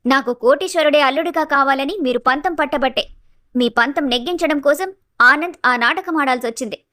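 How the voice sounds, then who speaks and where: A woman voices a composed young daughter-in-law from an animated family tale. Her pitch is high, and she stands her ground with polite, reproachful firmness. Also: a little fast. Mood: firm, reproachful. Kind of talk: animated family story acting.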